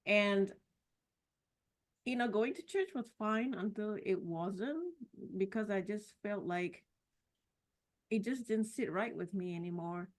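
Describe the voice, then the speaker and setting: A middle-aged woman from Singapore tells her story as a podcast guest. Her voice sits at a middle pitch and she speaks reflectively, often stopping to restart. The tone stays casual and personal. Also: expressive, slow, pauses often. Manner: warm, reflective, with frequent "you know" and restarts.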